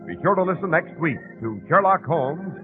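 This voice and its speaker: A muffled vintage broadcast with organ music behind an American man. He announces in a medium-pitched voice with lively, rising and falling scripted delivery. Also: normal speed. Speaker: radio announcer and host. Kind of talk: scripted 1940s radio announcing.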